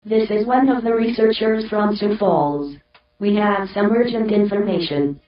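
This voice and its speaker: An earnest female researcher acted by a woman delivers urgent doomsday findings in an amateur audio drama. Her speech is formal and halting. The sound is heavily echoing, distant and muffled. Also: medium pitch, natural voice.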